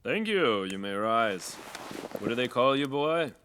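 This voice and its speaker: An actor playing a kindly young prince, informal and self-deprecating, in a fantasy audio drama. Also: male voice, mid-range voice, sing-song, a little slow, a bit bright, a little hiss or hum. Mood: teasing.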